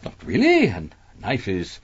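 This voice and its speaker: An actor playing a smug, evasive gentleman suspect in a radio mystery, pompous and hesitant as he comes under pressure. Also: on the low side, wildly swooping pitch, a little slow, husky, lo-fi sound, heavily acted. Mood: smug, defensive. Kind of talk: radio mystery drama acting.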